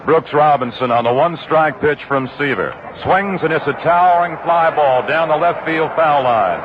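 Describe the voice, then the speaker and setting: A male radio announcer calls a baseball game pitch by pitch in a brisk, detailed way. His voice comes through a thin, phone-like 1960s AM broadcast. A steady hiss sits under it. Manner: brisk, detailed, rises in pitch on exciting plays. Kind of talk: radio play-by-play commentary. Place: ballpark broadcast booth.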